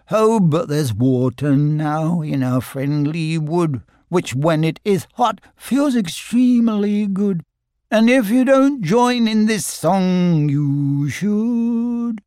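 A man voicing a kindly, simple toy bear in a storybook, slow, earnest and sing-song. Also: a little high, a little mellow, no echo, clean studio sound, pitched above the performer's own voice. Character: kindly, simple male toy bear singing rambling hums. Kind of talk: storybook narration with character voices.

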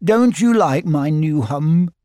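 A man voicing a kindly, simple toy bear in a storybook, slow, earnest and sing-song. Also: on the high side, lively intonation, warm tone, dry recording, studio quality. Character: kindly, simple male toy bear singing rambling hums. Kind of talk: storybook narration with character voices.

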